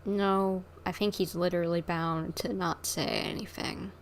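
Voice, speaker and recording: A woman's voice: lowish voice, steady tone, a little slow, background noise. A woman casually reading game dialogue and commenting during a livestream.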